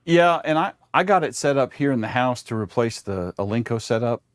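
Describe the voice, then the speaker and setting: A man's voice: mid-range voice, animated, brisk, dry recording, studio quality. A man guesting on a livestream, explaining tech gear in a matter-of-fact, informal way. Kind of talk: casual explanatory tech chat.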